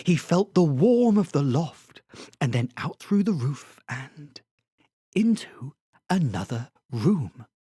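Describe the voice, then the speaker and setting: Slow, lilting bedtime narrator, breathy, close and dry. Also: medium pitch, dry recording. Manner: soft, soothing and hushed. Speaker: storyteller. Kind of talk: bedtime story reading.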